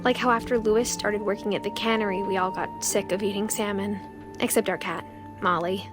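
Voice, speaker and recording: Woman as reflective seventeen-year-old girl, game narrator. Soft, measured, wistful storytelling at medium pitch, set over clear background music. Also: fairly even intonation, lightly acted. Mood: matter-of-fact, wistful. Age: young adult. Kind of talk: scripted first-person game narration. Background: prominent music.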